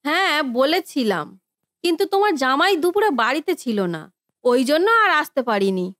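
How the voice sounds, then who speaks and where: A woman's voice: high-pitched, big pitch swings, normal speed, a bit bright, no room sound, clean studio sound. A woman playing a bossy, demanding eldest sister-in-law in a cartoon family drama, brusque and entitled in manner.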